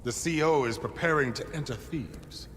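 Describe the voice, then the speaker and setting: A man's voice: a little high, moderate pace, fairly bright tone, in a large hall, a little hiss or hum, close to an everyday voice. An actor playing a devoted male aide to a grand ruler in a video game, formal and portentous. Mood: reverent, pleased. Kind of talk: video game cutscene acting.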